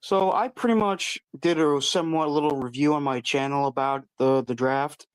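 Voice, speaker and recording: A man with a fairly high, smooth and clear voice chats as a guest in an easygoing, slightly hesitant way. The sound is dry and close, with no room around it. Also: moderate pace, no echo. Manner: easygoing, hesitant, with fillers and restarts. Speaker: guest caller. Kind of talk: casual chat.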